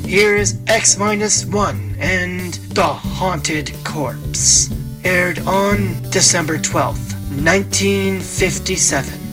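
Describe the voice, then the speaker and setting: A male radio presenter introduces old-time programmes in a plain manner. He speaks very slowly, with music clearly playing behind him. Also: sits high.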